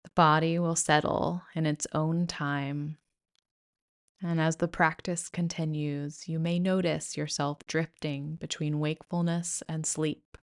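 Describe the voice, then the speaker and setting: A woman guiding a yoga nidra meditation in a soothing, gentle, measured way with pauses. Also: low voice, level tone. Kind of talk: guided meditation narration.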